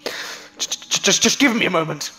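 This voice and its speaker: Man as a high-voiced, earnest self-sacrificing hero. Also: lively intonation, normal speed, sharp tone, low-quality recording. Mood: solemn farewell. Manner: earnest, solemn, dramatic. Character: grieving, self-sacrificing, loyal man defying a monster. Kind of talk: audio horror drama acting. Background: music.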